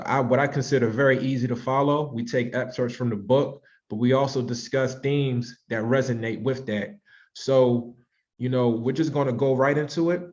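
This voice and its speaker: A man's voice: medium pitch, a little mellow. An American man in his thirties giving an informal, conversational and reflective presentation to an online audience. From Washington, D.C., United States. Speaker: author and presenter hosting a virtual book club. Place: online video call. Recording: slightly dull, lo-fi online call audio.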